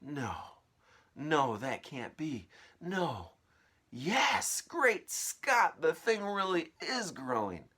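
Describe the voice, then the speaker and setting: A man's voice: fairly high pitch, sing-song, extremely slow, bright, forceful tone, husky, pitched above the performer's own voice, full character voice. Man doing a blustering, greedy storybook aunt. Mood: flustered disbelief. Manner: blustering and scolding. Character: grumpy, greedy, disbelieving aunt in a children's storybook.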